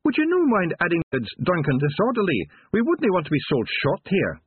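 Tiny Scottish rogue, high-pitched, swooping delivery, cheeky, unashamed, storybook character. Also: male voice, wildly swooping pitch, brisk, slightly rough, no room sound, narrow, tinny audio, full character voice. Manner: boisterous, brazen, mock-lofty. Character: tiny fierce male Feegle clan leader, cheeky unashamed thief. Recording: band-limited, radio-like dry audio.

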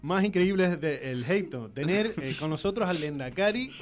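A man's voice: a little high, lively intonation, normal speed. A man hosting a comedy sketch, jokey and stumbling over names.

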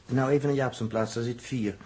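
A man as a mid-pitched, grumbling spaceship technician. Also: steady tone, fairly quick, darkish tone, breathy edge, lightly acted, poor audio. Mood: grumbling, casual. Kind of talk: 1960s Dutch radio drama acting.

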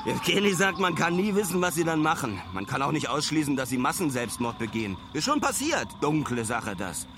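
An actor playing a restless traveller who keeps a wry personal log in a radio play, in clipped, colloquial sentences. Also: male voice, on the high side, very animated, brisk, hardly any pauses, over music. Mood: wry, uneasy. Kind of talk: radio play monologue, diary-like.

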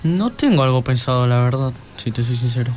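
Teen boy, student on the radio, casual, normal pitch. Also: lively intonation, fairly quick, a little mellow, soft background music. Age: teenager. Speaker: student guest.

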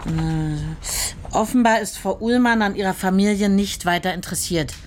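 A woman's voice: mid-range voice, normal speed, slight background noise, close to an everyday voice. An actress playing a formally polite, officious female clerk at a postwar tracing office in a radio play. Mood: formal, reluctant.

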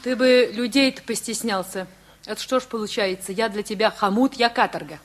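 Woman, acted, high voice, bossy young wife, scolding sarcasm, radio play. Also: brisk, slight background noise, natural voice. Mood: indignant. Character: bossy, sharp-tongued wife of about thirty.

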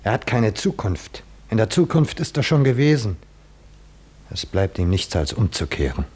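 An actor playing a short-sighted, melancholy, quietly insistent observer in a 1950s German radio drama, speaking softly and deliberately. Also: male voice, fairly low pitch, very animated, slightly rough. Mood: cool, knowing. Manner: soft, deliberate, knowing.

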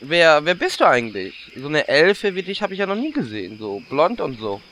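Guy doing a flirty cocky orc, slightly high, really animated, comedy audio drama. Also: fairly quick, slight background noise. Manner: brash, flirty. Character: cocky, lecherous male orc warlock.

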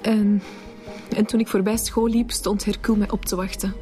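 An actress playing an insistent but hesitant young victim in a radio crime drama. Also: female voice, mid-range voice, flat delivery, moderate pace, over loud music, natural voice. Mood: distressed, insistent.

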